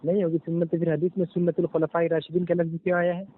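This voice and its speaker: A man with a somewhat high, flat-toned voice debates religion over a tinny phone line. He persistently presses his questions, repeating words as he goes. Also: very fast. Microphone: phone call. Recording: tinny phone call recording.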